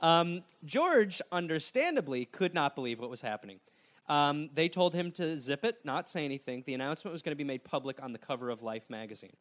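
A man's voice: on the high side, a little fast. A man hosting a comedy podcast, telling a story in a jokey way and slipping into silly voices. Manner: animated, jokey, slips into silly put-on voices. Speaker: podcast host.

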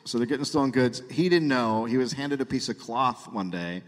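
A man hosting a live comedy podcast, telling a story in a halting way with repeated phrases. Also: mid-range voice, lively intonation. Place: live show in a hall. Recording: live hall reverb.

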